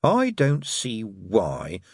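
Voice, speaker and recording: A man's voice: on the low side, wildly swooping pitch, drawn-out words, darkish tone, slightly rough, no echo, clean studio sound, exaggerated voice. A man voicing a sulky, indignant schoolboy who grumbles and complains in an audiobook.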